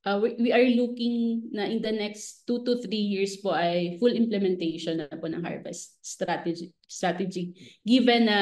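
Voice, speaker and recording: A woman's voice: medium pitch, a little mellow. Filipino woman explaining as an expert guest. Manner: polite, mixing Filipino with English. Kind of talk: radio interview, explanatory.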